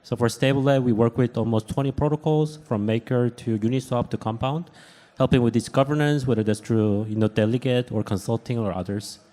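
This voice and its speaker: Mellow Korean-accented man, halting. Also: medium pitch, fairly even intonation. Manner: halting, with many fillers. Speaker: company co-founder and panelist. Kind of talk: panel self-introduction.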